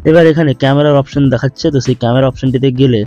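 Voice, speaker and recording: A man's voice: mid-range voice, fairly even intonation, rapid-fire, mellow. A man explains steps in an instructional tutorial voice-over, recorded a little dull and lo-fi. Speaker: tutorial host. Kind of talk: step-by-step screen tutorial voice-over. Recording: dull lo-fi voice-over, music behind. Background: background music.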